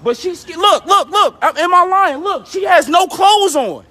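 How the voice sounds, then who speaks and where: An angry, agitated man yelling in a heated street argument, swearing and repeating himself. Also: very high-pitched, lively intonation, normal speed. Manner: loud, yelling, profane, repetitive. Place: outdoors on a city street.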